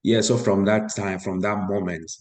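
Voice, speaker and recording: A man with a low-pitched voice talks as a guest on a talk show. He speaks conversationally, giving his own account as a parent. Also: little pitch movement, moderate pace, darkish tone.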